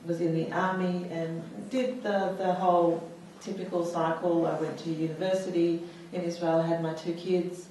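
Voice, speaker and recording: Woman, 40s, from the US; halting personal testimony full of fillers; lower voice; distant mic, very echoey hall. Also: flat delivery, warm tone, a little hiss or hum. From United States. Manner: hesitant, many fillers and restarts. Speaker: panel speaker. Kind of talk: personal testimony at a public talk.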